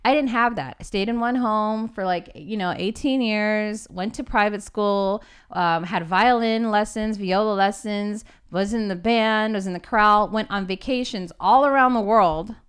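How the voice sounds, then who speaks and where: A chatty woman in her forties from Los Angeles co-hosts a casual conversation. Her voice is smooth and clear at a middle pitch, and she teases her partner easily. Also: normal speed, hardly any pauses. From Los Angeles, California. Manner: chatty and teasing. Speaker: co-host.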